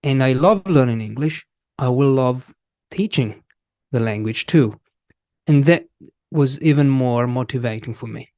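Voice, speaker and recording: An Italian man in his thirties speaks English with a clear Italian accent. He talks slowly in a casual interview, pausing often and restarting his sentences. The recording is dry, with no room sound. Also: mid-range voice, darkish tone, dry recording. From Italy. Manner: slow, halting, many restarts and fillers. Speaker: guest, English teacher.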